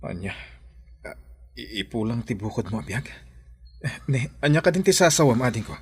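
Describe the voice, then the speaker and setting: A man playing a protective, concerned older brother in an audio drama, with a husky voice. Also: mid-range voice, big pitch swings, fairly quick. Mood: shocked.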